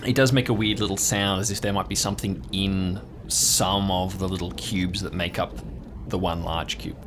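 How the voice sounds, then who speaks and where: A man's voice: sits low, steady tone, normal speed, over music. A man narrating as game master in an improvised tabletop story, describing scenes in an informal, conversational way.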